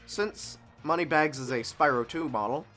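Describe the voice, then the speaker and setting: A man talks through a video game session in a bright, forceful voice, with faint game music underneath. He sounds a little sniffly and chats loosely, now and then bursting into excited shouts before dropping back into low asides. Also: moderate pace. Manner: chatty and self-deprecating, jumps between excited shouts and mumbles. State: sniffly nose. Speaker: let's play host. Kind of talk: casual gameplay commentary.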